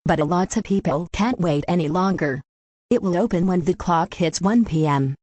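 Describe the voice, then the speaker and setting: A woman's voice: fairly low pitch, moderate pace, darkish tone, no echo, close to an everyday voice. A woman playing a composed local TV news anchor, reading a scripted newscast.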